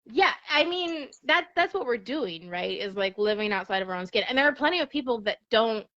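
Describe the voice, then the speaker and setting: A woman in relaxed conversation at home over a video call, chatty and laughing often. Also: a little high, fairly quick, dull audio. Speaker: guest. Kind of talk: casual chat. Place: her apartment.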